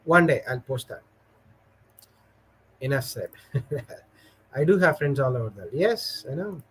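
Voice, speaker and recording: A man's voice: mid-range voice, expressive, slow, a little hiss or hum, low-quality recording. A man hosting a casual livestream, chatting with viewers in a rambling, hesitant way full of fillers, and telling stories. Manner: storytelling. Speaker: livestream host. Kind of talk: casual livestream chat, reading viewer comments.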